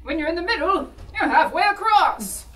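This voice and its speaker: A woman's voice: extremely high pitch, very animated, normal speed, pushed and bright, pitched above the performer's own voice, full character voice. A woman voicing a cheeky rustic simpleton in a shadow puppet show, folksy and sing-song. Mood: cheeky teasing. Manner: folksy dialect, sing-song tra-la-las, evasive. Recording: echoey live room, distant mic.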